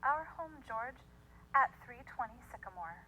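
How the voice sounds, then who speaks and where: A woman's voice: on the high side, animated, moderate pace, pushed and bright, low-quality recording. A woman playing a loving, playfully bossy young newlywed wife in a radio drama. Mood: teasing, affectionate.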